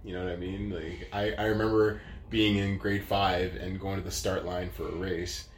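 A man's voice: sits low, flat delivery, moderate pace, roomy sound, slight background noise. A man in his late thirties telling his story as an interview guest, casual and rambling, with lots of fillers. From Canada. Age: 30s.

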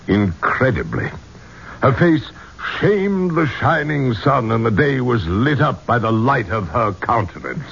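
An actor playing an obsessed, superstitious gentleman archaeologist in a 1970s radio drama, with a grandiloquent, courtly way of speaking. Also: mid-range voice, sing-song, unhurried, vocal fry, slightly rough, full character voice. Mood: ardent. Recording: dull, noisy 1970s radio recording.

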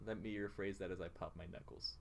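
A man's voice: sits low, fairly even intonation, quick pace, creaky, heavy background noise. A young man in casual conversation as a podcast co-host, earnestly explaining himself. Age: young adult. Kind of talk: casual chat.

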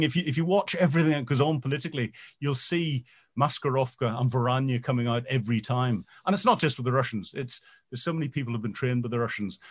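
A man, a military veteran, answering interview questions in a halting way, with many ums and repeated starts, growing animated as he goes. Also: mid-range voice.

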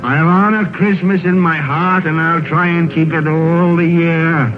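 An older actor playing an excitable old miser in a vintage radio drama, theatrical and stammering. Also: male voice, on the high side, measured pace, close to an everyday voice. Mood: desperate pleading. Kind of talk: 1930s-40s radio drama acting. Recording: old radio broadcast, narrow band, music.

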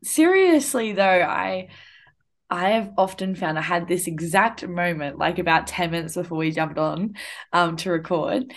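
A woman's voice: on the low side, measured pace. A young woman hosting a casual podcast conversation, talking in a reflective way with lots of "like" and "um". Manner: reflective, uses many fillers like "like" and "um". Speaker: podcast host.

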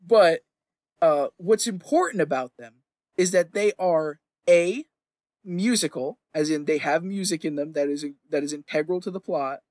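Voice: mid-range voice, moderate pace, a little mellow, no echo, studio quality. A podcast host chatting casually in an opinionated ramble, emphatic and sweary, often repeating phrases for emphasis.

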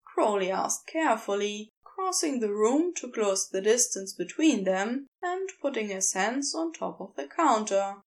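A woman reading scripted fiction as an audiobook narrator, with an expressive, measured storytelling delivery. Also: on the high side.

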